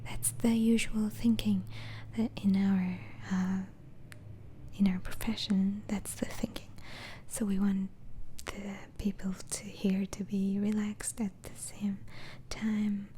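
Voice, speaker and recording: A woman whispering softly in a slow, hesitant, soothing ASMR roleplay as a gentle, reassuring doctor. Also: medium pitch, little pitch movement, a little hiss or hum. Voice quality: soft, breathy whisper.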